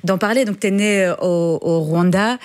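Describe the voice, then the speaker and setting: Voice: medium pitch, normal speed. A radio host interviewing a guest in a casual way, laughing often and restarting questions.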